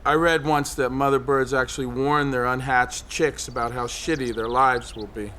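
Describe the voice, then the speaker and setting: An actor playing a bitter, paranoid loner on a high ledge in a naturalistic audio drama, speaking haltingly with defiant, cynical, profane edges. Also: male voice, steady tone, pushed and bright, slight background noise, natural voice. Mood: bleak, dry.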